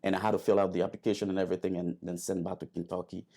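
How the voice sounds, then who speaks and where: A man from Liberia telling his story in an interview, halting with many fillers and restarts. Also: deep voice, flat delivery, mellow. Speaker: interview guest, airman.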